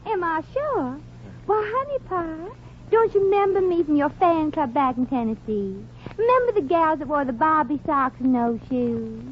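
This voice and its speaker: A woman's voice: sits high, lively intonation, moderate pace. A woman playing a gushing, folksy Southern belle superfan in a 1940s radio comedy, cooing with an exaggerated Southern American accent. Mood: gushing, syrupy. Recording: muffled, hissy 1940s radio recording.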